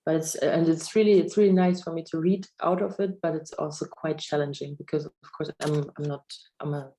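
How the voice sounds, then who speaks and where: A woman's voice: sits low, flat delivery, a little fast, mellow, poor audio. A woman from Germany, whose first language is German, speaking English over a video call and then reading from her own novel, a little hesitant when speaking freely. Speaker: author giving a reading. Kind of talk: reading aloud from a novel and chatting.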